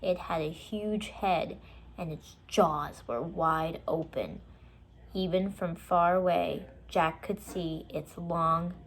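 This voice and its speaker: A woman reading a children's story aloud, with expressive, deliberate and dramatic narration. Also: lowish voice.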